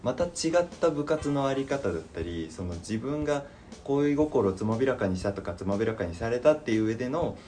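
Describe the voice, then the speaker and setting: A man's voice: medium pitch, animated, brisk, mellow, slight background noise. A man in a casual creative chat, thinking aloud and acting out imagined lines as he goes. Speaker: podcast member discussing a voice drama plan.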